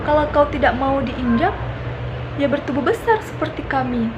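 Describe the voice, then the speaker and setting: A woman's voice: sits high, lively intonation, moderate pace, dull audio. A woman voicing an arrogant, mocking elephant who talks down to smaller animals. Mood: scornful. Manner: boastful, jeering. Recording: noisy background with passing traffic.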